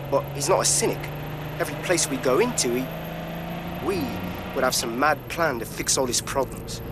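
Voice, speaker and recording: An actor playing a paranoid, defiant young British hacker in a video game, sweary and sarcastic. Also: male voice, medium pitch, close to an everyday voice. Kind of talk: video game cutscene acting. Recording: clearly noisy game sound effects behind.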